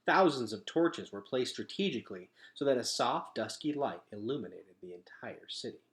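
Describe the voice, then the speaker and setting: A man reading a children's novel aloud as a storyteller, with expressive, emphatic phrasing. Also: medium pitch, normal speed. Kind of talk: animated children's book reading.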